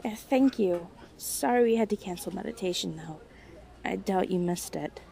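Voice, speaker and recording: A woman's voice: medium pitch, vocal fry, warm tone, close to an everyday voice. A woman acting a hesitant, apologetic young college student in a naturalistic audio drama. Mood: grateful, firmer. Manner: halting, apologetic, unsure. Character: hesitant young female college student, hurt but quietly resolute.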